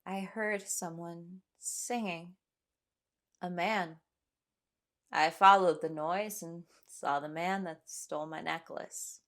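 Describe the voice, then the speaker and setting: Measured, slow-paced young woman castaway. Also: on the low side, steady tone. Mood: reflective. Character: young woman castaway, troubled, searching amnesiac narrator. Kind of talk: dramatic first-person audio drama narration.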